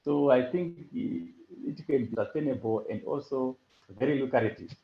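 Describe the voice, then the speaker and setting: A man presenting a farming project and answering questions on a call, pausing often with fillers and restarts. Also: mid-range voice, a little hiss or hum. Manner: halting, with many fillers and restarts. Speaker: hackathon presenter. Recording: echoey lo-fi call audio.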